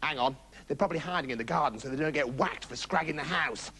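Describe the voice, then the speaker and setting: A man's voice: on the high side, quick pace, pushed and bright, pitched below the performer's own voice. A man voicing a flustered, scatterbrained mother goat in a children's story, fretful and scolding. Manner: fretful, exclamatory, rambling.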